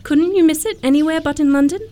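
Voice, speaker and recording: Woman acting a high-voiced, demure young girl. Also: fast, dark tone, lightly acted. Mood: prim, candid. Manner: demure, earnest, politely teasing. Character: prim, sweet, candid young girl of good family. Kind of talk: theatrical comedy-of-manners radio acting.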